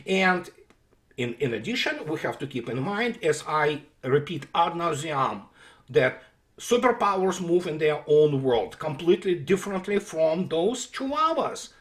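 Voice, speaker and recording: High-voiced man with a sarcastic rant. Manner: sarcastic, emphatic, many fillers and restarts. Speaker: political commentator. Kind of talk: unscripted monologue commentary.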